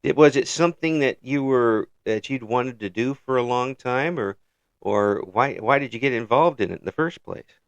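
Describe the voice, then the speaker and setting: A man hosting a relaxed interview chat in an easygoing way. He laughs lightly between thoughts and circles back over his phrases, and his voice sits at a middling pitch in a dry, close recording. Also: moderate pace, no echo, highs cut off. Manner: easygoing, chuckles often, restarts phrases. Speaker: interview host.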